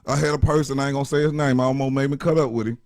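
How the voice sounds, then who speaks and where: A man with a deep voice gives blunt, profane relationship advice in casual chat. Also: fairly even intonation, very fast, darkish tone.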